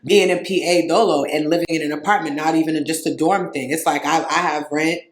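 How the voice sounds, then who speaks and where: A woman's voice: low voice, steady tone, quick pace. A woman born in Haiti, a podcast guest, talking in a loose, casual way full of slang and swearing. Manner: loose, profane slang with constant "you know what I'm saying". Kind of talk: casual interview chat. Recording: roomy echoey room.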